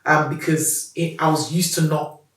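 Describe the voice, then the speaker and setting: A woman from Nigeria with a light Nigerian accent, a business founder telling her story in a candid interview, animated, laughing often and restarting her sentences. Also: low voice, normal speed. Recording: roomy, mic at a distance.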